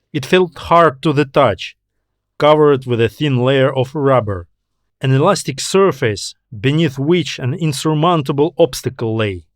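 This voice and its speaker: A man narrates a scripted audiobook story at a slow, measured pace. His voice sits at a middle pitch and sounds close and dry, free of room echo. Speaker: author and narrator.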